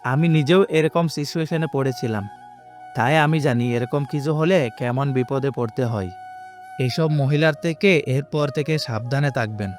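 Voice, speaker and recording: Audio story bystander played by a man: decent, indignant young fellow, average-pitched voice, plain-spoken reproach. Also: moderate pace, soft background music, close to an everyday voice. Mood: earnest. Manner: earnest, reproachful.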